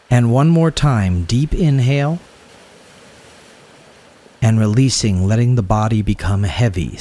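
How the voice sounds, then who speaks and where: A man guiding a yoga nidra meditation in a soothing, unhurried way, speaking in short phrases. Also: lowish voice, mellow, husky. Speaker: guided meditation teacher.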